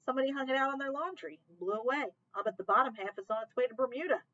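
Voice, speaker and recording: A woman reading aloud, voicing a quiet, serious young boy who speaks plainly and matter-of-factly. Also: high-pitched, racing, muffled recording, voice pushed up.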